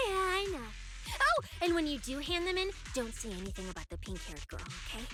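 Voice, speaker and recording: A woman playing a cheeky, excitable young case specialist in a video game, expressive and boastful. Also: extremely high pitch. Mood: smug, playful. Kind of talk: animated video game voice acting.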